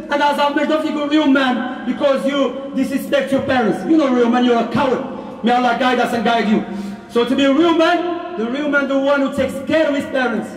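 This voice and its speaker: A man in his thirties giving a Friday sermon in a mosque hall, speaking with passion and often rising to a shout. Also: extremely high pitch. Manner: impassioned, often raises voice to a shout. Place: mosque prayer hall with plain walls. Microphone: handheld mic on stand, filmed from across room. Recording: echoey hall, distant mic.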